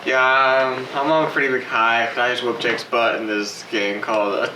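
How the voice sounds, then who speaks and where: A man's voice: medium pitch, fairly even intonation, moderate pace, pushed and bright. 20 yr old guy, cocky and playful, roomy far-off mic. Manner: playful, boastful. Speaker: study participant. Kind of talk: casual on-camera interview. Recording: echoey room, distant mic, noisy.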